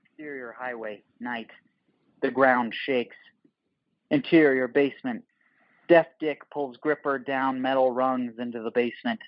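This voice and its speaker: A man's voice: mid-range voice, no echo, muffled recording. A man narrating an audio play, reading stage directions in terse screenplay phrases.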